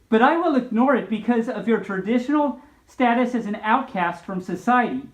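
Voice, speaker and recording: A woman's voice: fairly high pitch, roomy sound, low-quality recording. A woman voicing a confident, indignant young girl from a storybook, with a declamatory, lecturing manner.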